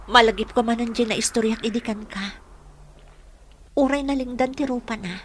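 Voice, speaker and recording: A woman's voice: on the high side, normal speed, a bit bright, slight background noise, natural voice. An actress playing a troubled, defiant young village woman in an emotive Ilocano radio drama. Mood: sorrowful. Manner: emotive, melodramatic delivery.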